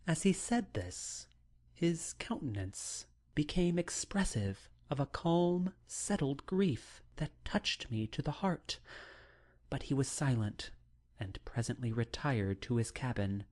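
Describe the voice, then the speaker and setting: Very slow, solemn male audiobook narrator. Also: mid-range voice, big pitch swings, a little mellow. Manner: solemn, deliberate, literary delivery. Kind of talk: scripted dramatic narration of a classic novel.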